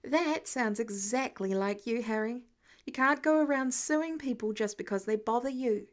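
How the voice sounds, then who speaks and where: A woman's voice: a little high, normal speed, lightly acted. A woman voicing a teasing, self-deprecating nerdy teenage boy in a casual, playful way. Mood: patient.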